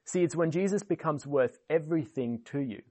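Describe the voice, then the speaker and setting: A man's voice: on the high side, brisk, mellow. A man in his twenties from Switzerland with a Swiss accent, giving an earnest, enthusiastic sermon as a guest speaker at an online church service.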